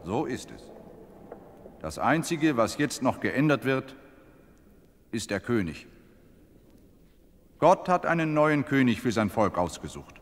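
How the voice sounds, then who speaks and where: An actor playing a stern, solemn prophet in a radio play, grave and admonishing. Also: male voice, mid-range voice, big pitch swings, slow, pauses often, long reverb tail.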